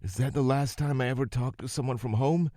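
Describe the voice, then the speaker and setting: A man's voice: fairly high pitch, normal speed, darkish tone, no echo, studio quality, voice pushed up, natural voice. A man voicing a frightened young woman held captive, pleading in a raised, tearful voice.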